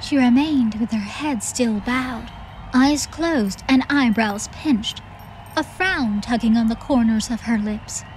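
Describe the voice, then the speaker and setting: A woman's voice: a little high, slow, slight background noise, low-quality recording. A woman reading scripted, dramatic audiobook narration. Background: music.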